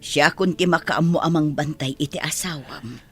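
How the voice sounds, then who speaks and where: An actress with an Ilocano accent playing a kindly older mother in a radio drama, with a husky voice. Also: deep voice, normal speed, soft background music, lightly acted. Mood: caring, reassuring.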